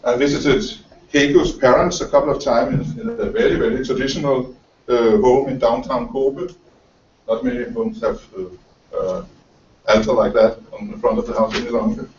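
Danish-born journalist in his 50s, halting lecture delivery, picked up from afar in a roomy room. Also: medium pitch, fairly even intonation, a little hiss or hum. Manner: halting, many fillers and repeated words. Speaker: journalist and author giving a lecture. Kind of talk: lecture talk reading from notes. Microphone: far camera. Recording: roomy, distant, dull camera audio.

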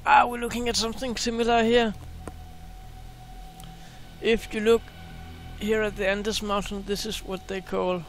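A man talks excitedly through images on his screen, often repeating words as he goes. His voice sits high and has a gravelly fry. Faint music plays behind him. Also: measured pace, pauses often. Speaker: space video host. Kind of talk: casual screen-share commentary.

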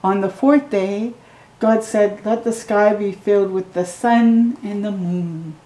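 Slow, mellow-voiced woman reading a storybook. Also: mid-range voice, reverberant, rough recording. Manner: slow, gentle reading with excited asides to the viewer. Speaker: storytime reader. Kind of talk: reading a picture book aloud to children. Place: living room at home.

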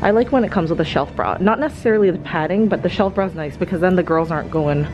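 A woman's voice: lowish voice, quick pace, dull audio, over music. A young woman in her twenties chatting casually through a clothing try-on vlog, rambling with fillers as she films on a handheld camera in a shop. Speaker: fashion vlogger. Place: clothing store, indoors.

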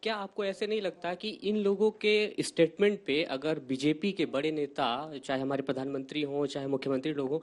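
A man's voice: fairly high pitch, a little fast, few pauses. A young man in a debate audience putting an earnest question to a panelist. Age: young adult. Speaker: audience member asking a question.

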